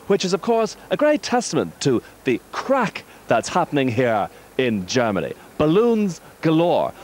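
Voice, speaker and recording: A man's voice: fairly high pitch, slight background noise. A man from Ireland giving a sardonic comic report out of doors.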